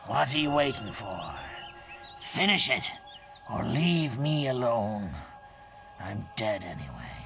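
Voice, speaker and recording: An actor playing a proud, bitter, beaten bandit king in a video game, with a gruff, gravelly voice. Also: mid-range voice, big pitch swings, slow pace, pauses often, heavily acted. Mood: bitter, defeated. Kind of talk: video game cutscene dialogue. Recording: music behind the voice.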